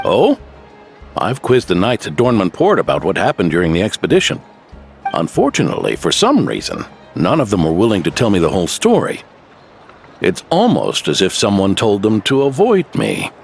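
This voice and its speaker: An actor playing a wry, probing old veteran knight captain in a game. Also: male voice, fairly low pitch, huge pitch swings, normal speed, vocal fry, soft background music, exaggerated voice. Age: older.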